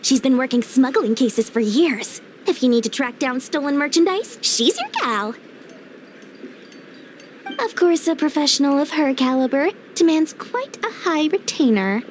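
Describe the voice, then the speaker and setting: A woman's voice: high-pitched, expressive, a little slow, a little hiss or hum. A woman playing a cunning, greedy young merchant with cheerful, theatrical sales patter in an anime game. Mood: sly, confident.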